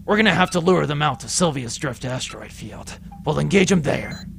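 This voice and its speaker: Man playing a sardonic, gravelly starship commander. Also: fairly high pitch, lively intonation, brisk, soft background music. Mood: brisk command. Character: dutiful, sardonic male second-in-command of pirate starship. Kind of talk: space adventure audio drama acting.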